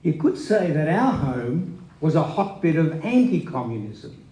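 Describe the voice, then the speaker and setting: A man's voice: fairly high pitch, big pitch swings, unhurried, dark tone. A man giving a scripted dramatic reading of a memoir on stage in an echoey hall. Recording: echoey live hall recording.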